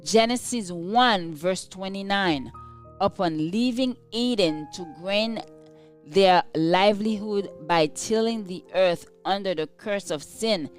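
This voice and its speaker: Woman doing a devotional reading, really slow n measured, music playing behind her. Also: mid-range voice. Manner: measured, deliberate phrasing. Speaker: devotional reader. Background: instrumental music.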